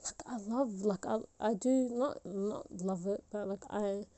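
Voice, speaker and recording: Mellow-voiced young woman musing on a podcast. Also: mid-range voice, measured pace. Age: 20s. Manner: rambling, many fillers and restarts. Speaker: podcast host. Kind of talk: solo reflective podcast talk.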